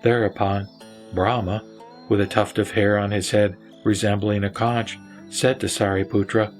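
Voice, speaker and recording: A man with a low voice narrates sacred scripture. He recites in a solemn, formal way, with music faintly behind him. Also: level tone, normal speed.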